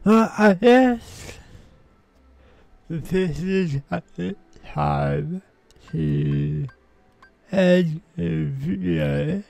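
Male gameplay host: high, creaky voice, halting casual commentary, music playing. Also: darkish tone, no echo. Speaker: host of a video game playthrough.

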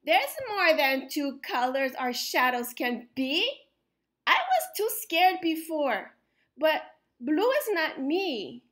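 Woman as a brave, high-voiced storybook schoolchild. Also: sing-song, slow, exaggerated voice. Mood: brave, proud. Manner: loud, declarative, emphatic.

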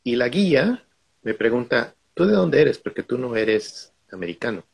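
A man's voice: mid-range voice, big pitch swings, normal speed, gravelly, slightly rough. A middle-aged man from Guatemala, a guest on a video call, telling stories from his own life in a reflective way. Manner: reflective, storytelling. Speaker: guest speaker.

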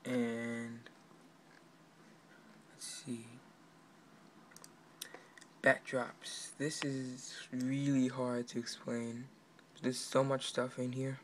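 Man, medium pitch, monotone, offhand explaining, halting delivery, handheld camera audio with slight noise. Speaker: amateur game developer. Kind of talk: casual screen walkthrough, explaining his project. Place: indoors at a computer. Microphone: handheld camera pointed at monitor. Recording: noisy amateur room recording.

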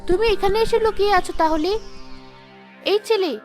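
Woman playing a very high-voiced righteous cartoon fairy. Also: normal speed, a little mellow, faint music behind. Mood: stern questioning. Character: righteous, protective young female fairy. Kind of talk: cartoon character acting.

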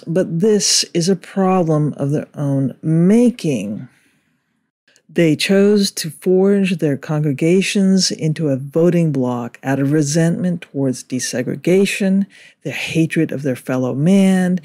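A man reading a scripted political commentary in a measured, indignant way. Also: a little high, darkish tone.

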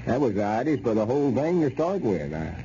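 Old Southern country schemer, played by a man, proud and exasperated, very sharp edge, dull noisy vintage radio sound. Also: mid-range voice, sing-song, fairly quick, close to an everyday voice. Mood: rueful. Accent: rural Southern American. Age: older. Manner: folksy, exasperated drawl. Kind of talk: 1930s-40s radio comedy acting.

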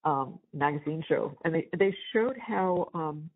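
A woman in her seventies chatting casually on a family phone call, halting and repeating phrases, with a husky, gravelly voice. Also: sits low. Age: 70s. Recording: tinny, band-limited jail phone call.

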